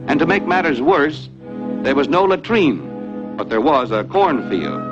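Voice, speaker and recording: Old 1940s health film narrator guy, folksy n teachy, dull vintage sound w loud music. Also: on the high side, very animated, a little slow. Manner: didactic, folksy storytelling.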